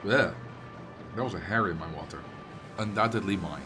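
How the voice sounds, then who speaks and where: A man's voice: sits low, moderate pace. A man with a Dutch accent chatting casually with viewers on a livestream while he plays a game. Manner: chatty, rambling, answers viewers' messages. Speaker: streamer. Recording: game music playing behind.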